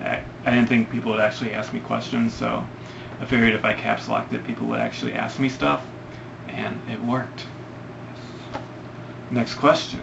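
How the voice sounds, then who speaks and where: Young guy from Ohio doing a casual Q&A, rambling with ums; gravelly voice on a muffled, hissy recording. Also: medium pitch. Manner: hesitant, rambling with many ums. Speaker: vlogger answering questions. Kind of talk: casual Q&A vlog. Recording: lo-fi, noisy home recording.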